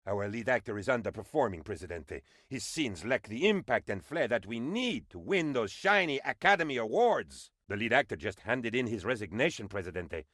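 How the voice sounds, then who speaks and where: An actor voicing a bumbling, excitable and fawning aide to a dictator in a comic video game, with theatrical delivery. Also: male voice, mid-range voice, no echo, studio quality. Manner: theatrical, fawning, swooping between excitement and dismay. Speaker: game advisor character.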